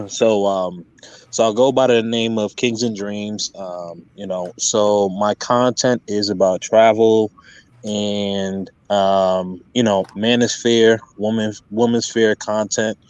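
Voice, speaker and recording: Male guest, low voice, halting and filler-heavy, casual call-in explanation. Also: a little mellow, clear, resonant tone, no echo, dull audio. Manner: hesitant, many fillers and restarts. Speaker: guest content creator. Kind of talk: casual interview talk.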